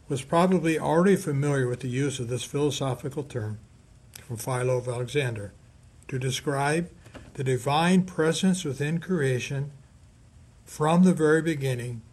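Husky-voiced man reading a measured reflection. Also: mid-range voice, darkish tone. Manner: measured, deliberate, with emphatic pauses and repetition. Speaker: priest giving a scripture reflection. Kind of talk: scripted sermon reflection read aloud.

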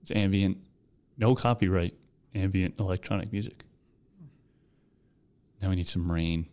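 A young man co-hosting a casual podcast, joking around and reciting a movie monologue slowly. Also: sits low, steady tone, darkish tone, smooth. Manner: laid-back, joking, slow dramatic recitation. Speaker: podcast co-host. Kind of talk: casual chat.

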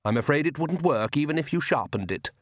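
A man's voice: on the high side, animated, a little fast, dry recording, pitched above the performer's own voice. A man voicing a suave, menacing male vampire count with dry politeness in a storybook. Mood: smooth menace.

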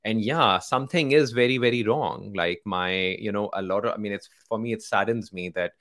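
Host, male, grew up in Pakistan, very low voice, halting delivery full of fillers. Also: fairly bright tone. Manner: hesitant, many fillers and restarts. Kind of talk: casual podcast conversation.

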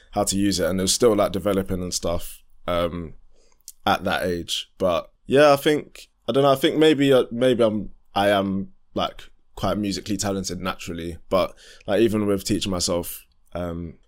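Deep-voiced young man, rambling. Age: young adult. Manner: relaxed, rambling, many fillers and restarts, laughs often. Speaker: independent musician guest. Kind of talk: casual interview chat.

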